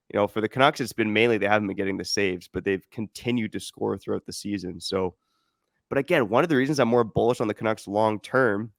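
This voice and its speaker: A man hosting a sports podcast, laying out his views in a measured, stat-heavy way with a lot of "you know". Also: on the low side, vocal fry, dry recording, studio quality. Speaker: podcast host. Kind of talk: casual sports analysis.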